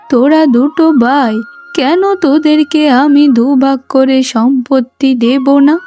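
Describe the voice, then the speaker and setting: An older, remorseful mother-in-law , acted by a woman, speaks plaintively in a high, mellow voice. Her solemn cartoon-drama delivery moves very slowly, with faint music behind it. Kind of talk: emotional cartoon story acting.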